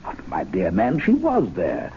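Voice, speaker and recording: An actor playing a courteous, quietly probing gentleman in a radio drama, polite and deliberate. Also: low voice, huge pitch swings, heavily acted. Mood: puzzled, insistent. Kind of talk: old-time radio drama acting. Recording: dull hissy vintage radio recording.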